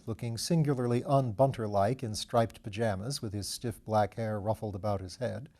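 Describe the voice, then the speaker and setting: A man's voice: mid-range voice, warm tone. A man reading a novel aloud as scripted literary narration. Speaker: audiobook reader.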